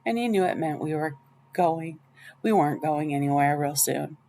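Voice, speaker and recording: A woman reading a children's novel aloud in the first person, with expressive storytelling. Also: low voice, normal speed, a little hiss or hum. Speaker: audiobook reader.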